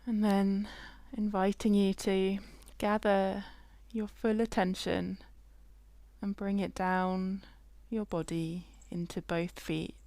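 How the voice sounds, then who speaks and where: Woman meditation guide, very slow pace, even narrow intonation, gentle, long pauses, dry close sound. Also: medium pitch, dry recording. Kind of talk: guided body scan meditation, slow and spacious.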